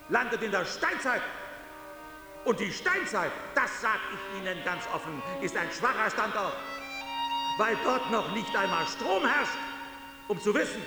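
An actor playing a pompous, self-satisfied ruler declaiming a political speech in a radio play. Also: male voice, high voice, vocal fry, exaggerated voice. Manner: pompous, declamatory, slow oratory. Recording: long echoing hall reverb, loud music.

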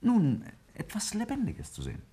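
A man's voice: high-pitched, huge pitch swings, normal speed, dark tone, slightly rough, exaggerated voice. A man acting a self-assured scientist in a 1950s German radio play, arguing didactically and emphatically with slight irony. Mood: explaining, insistent. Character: Kingsley, self-assured male scientist arguing a bold theory.

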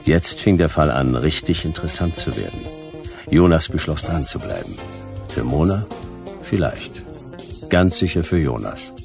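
A man's voice: rumbling low, animated, normal speed, vocal fry, full character voice. A man playing a laconic, hard-boiled private detective in a noir radio play, dry, terse and wry. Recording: music behind the voice.

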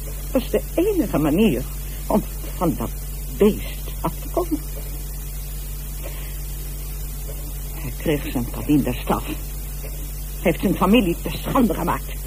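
Haughty, self-righteous wife, wildly swooping pitch. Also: female voice, sing-song, measured pace, background noise. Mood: desperate, defiant. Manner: haughty, insistent, breaking into agitated self-justification. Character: defensive, self-righteous wife and mother accused of instigating murder. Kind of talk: radio drama acting.

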